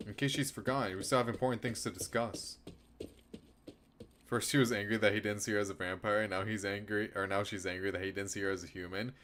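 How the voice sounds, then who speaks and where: A man's voice: on the low side, steady tone, fast, fairly bright tone, a little hiss or hum. A man streaming a game, reading a visual novel aloud and adding casual commentary.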